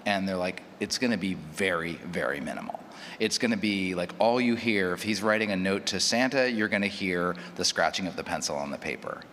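A man's voice: low-pitched, a little fast, a bit bright. A man speaking as a producer on a panel, explaining things through anecdotes in a live hall. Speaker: audio drama producer on a panel. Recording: echoey hall, clear ambient noise.

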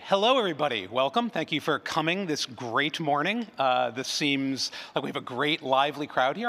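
A man's voice: mid-range voice, pushed and bright. A man giving a conference presentation in a conversational way, often doubling back on his phrases. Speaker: conference speaker, advertising researcher. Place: large room, live event. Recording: reverberant hall sound.

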